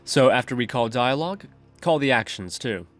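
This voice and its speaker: A man with a medium-pitched voice presents a step-by-step software tutorial. His delivery is methodical and instructional, with faint music playing behind him. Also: animated, moderate pace. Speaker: programming tutorial host. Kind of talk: scripted tutorial narration.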